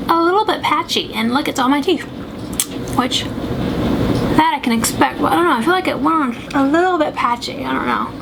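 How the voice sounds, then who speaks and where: A woman's voice: high voice, a little fast, gravelly. A young woman vlogger chatting through her makeup routine, sniffly and congested, rambling and second-guessing herself as she talks. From American South. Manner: rambling and self-doubting, full of fillers. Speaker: beauty vlogger. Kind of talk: chatty get-ready-with-me makeup tutorial. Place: home, at a makeup table. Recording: noisy home recording.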